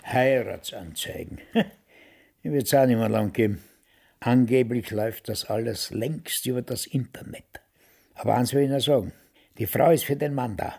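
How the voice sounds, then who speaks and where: An actor playing a cynical, grumbling widower of about ninety in a radio play, with a husky, gravelly Austrian voice. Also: male voice, mid-range voice, moderate pace, darkish tone. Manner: grumbling, blunt, dismissive.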